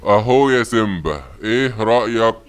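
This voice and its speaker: A man playing a booming Egyptian cartoon genie. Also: expressive, slight background noise, lightly acted. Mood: grand, teasing. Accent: Egyptian Arabic. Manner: grand, slow and teasing with booming laughs. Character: booming magic male genie from a washing machine.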